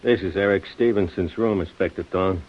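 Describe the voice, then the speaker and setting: A man playing an obedient, plain-spoken police sergeant in a 1950s radio drama, brisk and deferential. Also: low-pitched, lightly acted. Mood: dutiful. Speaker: radio actor. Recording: muffled, very noisy old radio recording.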